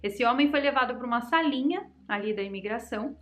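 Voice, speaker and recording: A woman's voice: fairly high pitch, lively intonation, brisk, a bit bright. A young Brazilian woman hosting a channel, chattily and dramatically telling mystery stories straight to her viewers. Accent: Brazilian Portuguese. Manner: chatty, dramatic, addresses the audience directly. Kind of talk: casual storytelling to viewers.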